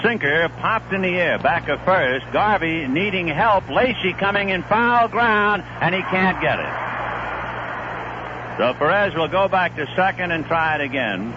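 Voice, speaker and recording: A man calling baseball play-by-play on a 1970s radio broadcast, in a steady, conversational manner. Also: unhurried. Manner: steady, conversational play-by-play with live pitch calls. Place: stadium broadcast booth. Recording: muffled 1970s AM radio broadcast with faint hiss.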